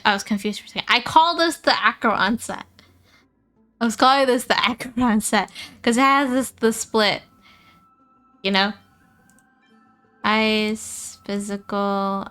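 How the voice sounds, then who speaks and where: A woman's voice: medium pitch, a bit bright, clear, resonant tone. A woman hosting a gaming livestream, thinking aloud casually and chatting informally with her viewers, often repeating herself. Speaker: livestream host. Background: music.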